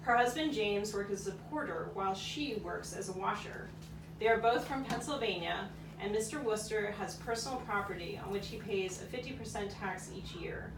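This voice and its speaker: A woman's voice: medium pitch, normal speed, lightly acted. A woman playing a formal 19th-century record reader, declaring scripted historical text in a live puppet show. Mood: matter-of-fact. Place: outdoor courtyard, live performance. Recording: roomy, distant microphone, noticeable background noise.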